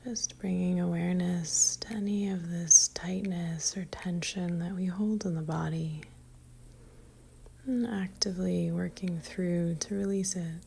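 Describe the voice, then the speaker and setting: A woman leading a guided meditation in a soft, breathy near-whisper, calm and gentle, leaving long pauses. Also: on the low side, slight background noise.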